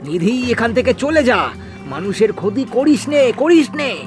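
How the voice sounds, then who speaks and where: A man plays a village fish farmer in a cartoon ghost tale. His voice is pitched high, scared but defiant, tripping and repeating words. Music runs behind him. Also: sing-song, a little slow, full character voice. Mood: pleading, defiant. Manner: stammering, repeating words in fright. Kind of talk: cartoon horror dialogue.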